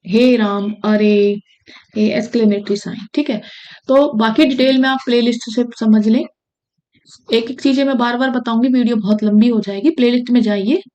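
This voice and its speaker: A woman's voice: a little high, fairly even intonation, moderate pace, warm tone, muffled recording. A woman from India teaching an exam-prep grammar lesson. She repeats key phrases and keeps checking in with her students.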